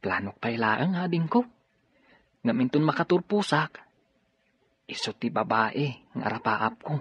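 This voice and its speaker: A man acting an earnest young student courting a girl in an Ilocano audio drama, with a husky voice. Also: medium pitch, expressive, measured pace, fairly bright tone, dry recording. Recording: dull lo-fi recording, music behind.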